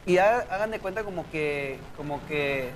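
A man from South Texas talks through his story as an interview guest, pausing to hunt for words and restarting his sentences. His voice sits a bit high for a man. A steady noise runs under the recording. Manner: hesitant, searching for words, many fillers.

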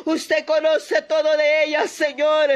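Woman, very high voice, monotone pitch, impassioned prayer leader, loud pleading, repeated invocations. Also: slow.

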